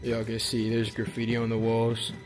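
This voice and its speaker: A young man casually vlogging while he explores an abandoned school, talking straight to his viewers in relaxed slang. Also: mid-range voice, normal speed, soft background music. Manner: laid-back slangy, keeps addressing viewers. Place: abandoned school, indoors and on roof. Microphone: handheld camera at arm's length.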